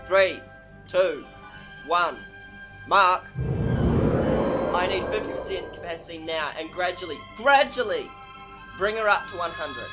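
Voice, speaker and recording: Brisk, higher-voiced male starship engineer, acted. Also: over music, rough recording. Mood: urgent, demanding. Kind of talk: fan audio drama acting.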